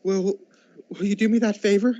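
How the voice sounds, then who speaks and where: A man playing a giddy, generous reformed old miser in a radio play, stammering a little in excitement. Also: sits high, moderate pace, no room sound, natural voice. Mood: giddy, eager. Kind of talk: school radio drama acting. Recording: dull lo-fi home recording.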